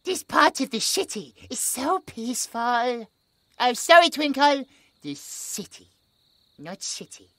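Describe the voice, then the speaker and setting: A British man voicing a gentle, childlike possessed male creature who talks about himself in the third person with broken grammar. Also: very high voice, sing-song, slow, no echo, studio quality, exaggerated voice. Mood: cheerful, curious. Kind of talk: horror audio roleplay.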